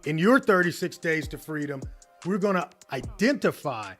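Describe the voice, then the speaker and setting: An American man with a fairly high voice delivers an upbeat motivational coaching talk. He keeps tagging his points with a quick "right?". Soft music plays low behind him. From United States. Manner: energetic, tags lines with "right?". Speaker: business coach. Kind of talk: motivational teaching monologue.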